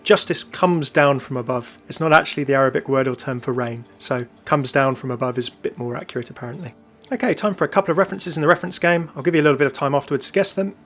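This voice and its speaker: A man's voice: mid-range voice, quick pace, fairly bright tone, faint music behind. A man presenting scripted video game lore commentary in a chatty, enthusiastic manner.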